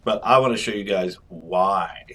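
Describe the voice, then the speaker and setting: Low-voiced man rambling through game commentary. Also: unhurried, a bit bright, slight background noise. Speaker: video game reviewer. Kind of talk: casual gameplay commentary.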